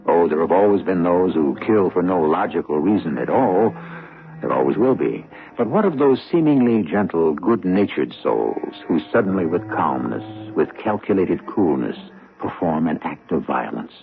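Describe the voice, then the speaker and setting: An actor playing a sardonic, ominous storyteller who hosts a radio mystery, theatrical, measured and wry. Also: male voice, sits low, sing-song, creaky, heavily acted. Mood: ominous, musing. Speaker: host and narrator of a mystery radio show. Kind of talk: scripted radio mystery narration. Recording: tinny vintage radio broadcast with music.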